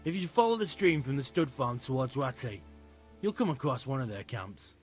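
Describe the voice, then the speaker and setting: A man's voice: medium pitch, sing-song, moderate pace, faint music behind, natural voice. A man playing a hesitant, earnest medieval stablehand in video game dialogue, folksy and loyal. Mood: confiding, helpful. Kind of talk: conversational video game acting.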